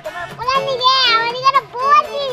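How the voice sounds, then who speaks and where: Naive whiny son, extremely high squeaky pitched-up voice, rural Bangladeshi accent, comedy cartoon. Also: male voice, expressive, quick pace. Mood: pleading. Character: whiny, naive grown son pleading with his father. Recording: loud music behind the voice.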